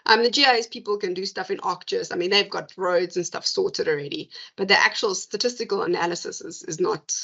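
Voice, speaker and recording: A woman's voice: lowish voice, fairly quick, creaky, hardly any pauses, pushed and bright, muffled recording. A woman hosting an academic seminar, chatty and thinking aloud with fillers. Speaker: seminar host. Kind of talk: academic discussion and closing remarks. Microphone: video call.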